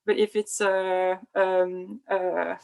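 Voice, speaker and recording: A young woman researcher speaking on an online panel, halting and full of fillers. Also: on the low side, level tone, creaky, a little mellow. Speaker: think tank researcher.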